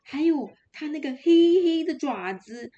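A woman voicing a loving mother goat in a storybook, gentle but emphatic as she warns her kids. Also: high-pitched, expressive, a little slow, muffled recording, pitched above the performer's own voice. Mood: caring warning.

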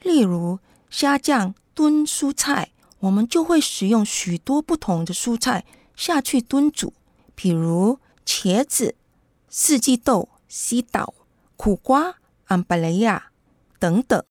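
A woman's voice: fairly high pitch, animated, slow, a little mellow, no echo, studio quality. A woman playing a kindly, patient mother who explains things in a children's radio drama. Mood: kindly, explaining.

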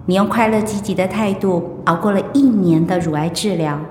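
An actress with a Taiwanese accent playing an upbeat, teasing wife in recovery from illness in a radio drama, playful and reassuring. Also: female voice, mid-range voice, a little fast, dark tone, a little hiss or hum, lightly acted. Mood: hopeful, self-encouraging. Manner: playful, reassuring, chiding her husband. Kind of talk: Mandarin radio drama acting.

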